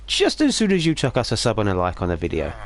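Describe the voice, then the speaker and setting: Croaky man, lively jokey streamer. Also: fairly low pitch, fast. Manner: jokey, self-mocking, animated. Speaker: streamer host. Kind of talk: casual live-stream chat.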